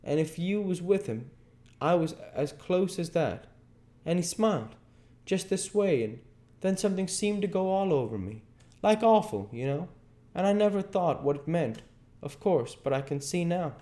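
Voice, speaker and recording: A man's voice: high voice, lively intonation, moderate pace, mellow, pitched above the performer's own voice. A man playing an awestruck, self-important village child in a storybook, chattering earnestly. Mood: awed, eager.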